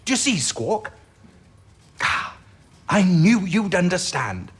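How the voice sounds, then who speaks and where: A male actor as an ailing, whimsical old British codebreaker in an audio drama. His voice is husky and a little high for a man, swinging widely in pitch. He speaks in halting, exclamatory bursts, with eccentric relish. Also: big pitch swings, a bit bright, heavily acted. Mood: excited, whimsical.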